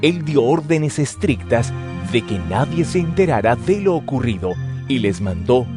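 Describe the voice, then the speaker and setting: Male narrator, expressive, lively intonation, mid pitch, scripture storytelling with faint music underneath. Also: measured pace.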